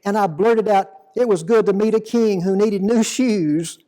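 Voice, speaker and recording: A man reading a dramatic monologue poem as an aging, plain-spoken fisherman apostle, deliberate, reflective and full of guilt and devotion. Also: sits high, warm tone. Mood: fond, reminiscing. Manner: slow, deliberate, reflective storytelling.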